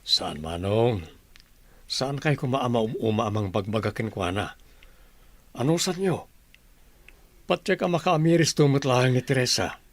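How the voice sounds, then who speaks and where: A middle-aged father in a radio play, medium in pitch. He sounds respectful and reassuring toward his family. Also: expressive, unhurried, pauses often. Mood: earnest, reassuring. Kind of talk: radio drama acting.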